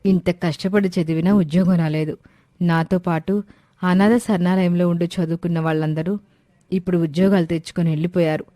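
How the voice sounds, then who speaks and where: Woman as discouraged young orphan, mellow tone. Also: lowish voice, fairly even intonation, fairly quick, no room sound, studio quality, close to an everyday voice. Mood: downcast. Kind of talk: Telugu moral story character acting.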